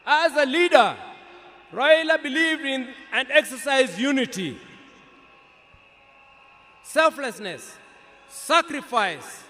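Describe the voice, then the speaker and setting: High-pitched Kenyan man orating in long reverb. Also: expressive, pushed and bright. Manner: solemn, emphatic oratory with deliberate phrasing. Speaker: eulogist reading a tribute. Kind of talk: formal scripted eulogy read aloud to a gathering. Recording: long reverb of a large public address.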